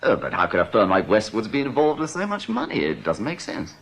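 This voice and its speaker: An actor playing a glib, evasive deputy bank manager in a radio drama, husky, soothing yet firm. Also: male voice, on the low side, wildly swooping pitch, fairly quick, a bit bright, full character voice. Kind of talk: British radio drama acting.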